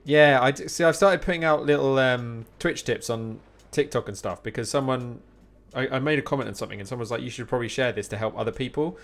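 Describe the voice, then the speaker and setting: A man's voice: mid-range voice, quick pace, few pauses. A man live streaming, chatting to his viewers in an informal, rambling, encouraging way. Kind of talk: casual chat with stream viewers.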